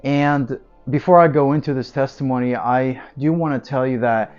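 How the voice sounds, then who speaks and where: A man's voice: medium pitch, steady tone, moderate pace, darkish tone, clean tone, dull audio, soft background music. A man from Ukraine with a Ukrainian accent, giving an earnest personal faith testimony as an evangelist, repeating words for emphasis with frequent fillers. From Ukraine, Odessa.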